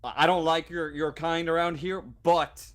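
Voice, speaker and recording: A man's voice: on the high side, level tone, normal speed, fairly bright tone, voice pushed up, lightly acted. A man voicing a flustered, grudging station official in a tabletop game, stammering and pleading for no trouble.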